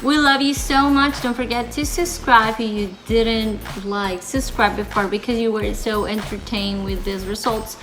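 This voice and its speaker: A woman from Colombia with a Colombian accent and Spanish as her first language, chatting casually and excitably to viewers as a vlog host. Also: on the high side, moderate pace, hardly any pauses, faint music behind, low-quality recording.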